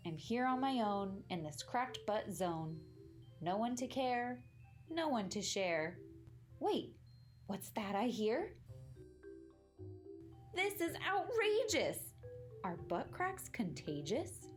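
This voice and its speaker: A woman's voice: a little high, big pitch swings, takes it slowly, pauses often, faint music behind. A woman voicing a dramatic, imaginative young boy in a rhyming picture book, with exaggerated sing-song delivery. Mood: forlorn, then shocked. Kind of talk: animated storybook read-aloud.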